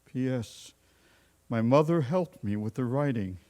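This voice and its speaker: A man acting a jolly, quick-witted department store Santa who is kindly with children, in a live stage radio play. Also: lively intonation, dark tone, lightly acted. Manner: booming ho-ho-ho greetings, dry asides. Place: live on stage at a recreation center.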